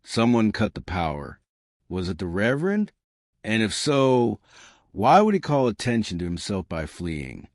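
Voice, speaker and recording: A man voicing an elderly woman detective in an audiobook mystery, quiet, measured and shrewd. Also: on the low side, sing-song, no echo, studio quality. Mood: puzzled.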